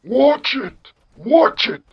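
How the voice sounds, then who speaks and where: A man's voice: very high voice, sing-song, very slow, slightly rough, lo-fi sound, pitched above the performer's own voice, full character voice. A man voicing a cranky, indignant old synth with a blustering, exaggerated generic old man voice in a comedy skit. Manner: blustering, repetitive, scolding.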